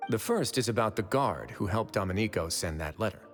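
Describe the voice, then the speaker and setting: A wry, self-assured prison warden with a lowish voice that speaks calmly and dryly. A long reverb trails his words. Light game music sits behind him. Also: a little fast. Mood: easygoing. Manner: calm, dry, confident. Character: calm, wry male prison administrator. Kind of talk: video game voice acting.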